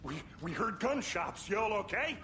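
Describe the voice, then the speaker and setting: A man's voice: sits high, very animated, a little slow, sharp tone, roomy sound, a little hiss or hum, full character voice. A man playing a bewildered, hesitant small-town police deputy in a video game. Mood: anxious.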